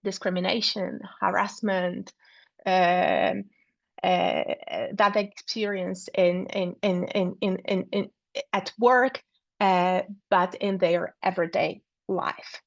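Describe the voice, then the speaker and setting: A woman presents her research over a video call. She talks slowly and hesitantly, filling pauses with ums and uhs and often repeating words. Her voice sits in a mid range and comes through a dull, lo-fi connection with a dry, close sound. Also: lowish voice, steady tone, vocal fry, no echo. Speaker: academic speaker. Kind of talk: online academic talk.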